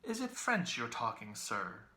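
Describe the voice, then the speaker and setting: A man's voice: medium pitch, huge pitch swings, unhurried, bright, forceful tone, roomy sound, full character voice. A man reading a novel aloud, voicing a humble, deferential old Irish milkwoman with a simple, polite manner. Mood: curious, respectful. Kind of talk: literary audiobook character reading.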